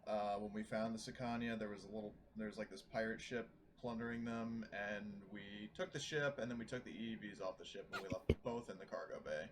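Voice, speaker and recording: A man's voice: on the low side, fairly quick, few pauses, slight background noise. A man talking casually as a player in a tabletop roleplaying game.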